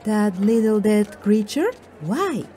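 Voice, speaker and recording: A woman's voice: mid-range voice, sing-song, slow pace, mellow, soft background music. An actress playing a proud, feisty middle-aged mother in an audiobook drama, with indignant, rather formal phrasing. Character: proud, feisty mother newly turned fighter.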